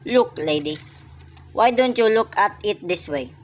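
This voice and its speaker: A woman's voice: mid-range voice, lively intonation, measured pace, lightly acted. A woman playing an unimpressed, patronizing city police officer in a radio play, placating and dismissive. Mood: skeptical, weary.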